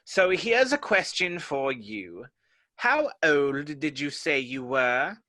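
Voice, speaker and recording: A man's voice: on the high side, very animated, takes it slowly, sharp tone, heavily acted. A man playing a haughty, touchy, pedantic egg character in a dramatic reading of a children's classic. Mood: pompous, contradicting.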